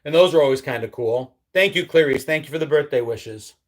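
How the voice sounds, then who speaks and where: A middle-aged man from upstate New York chats casually on his live stream, reading out viewer comments and answering them. His voice sits a little high for a man, and his easygoing, nostalgic manner drifts into soft, trailing endings. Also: brisk. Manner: nostalgic, easygoing, with frequent ums and trailing drops. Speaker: livestream host. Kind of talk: casual live-chat reminiscing.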